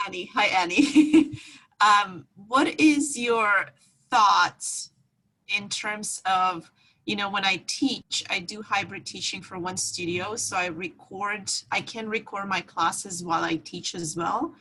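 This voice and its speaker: Bright, breathy woman asking a question. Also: mid-range voice, takes it slowly, poor audio. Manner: hesitant, many fillers. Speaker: yoga teacher, course participant. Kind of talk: asking a question on a group call.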